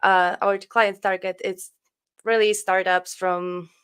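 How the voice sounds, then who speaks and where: A woman speaks English with a Polish accent, introducing her firm in an earnest, slightly halting manner. Her voice is smooth and clear, with a middle pitch. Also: flat delivery, fairly bright tone. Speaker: compliance firm founder. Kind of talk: panel introduction.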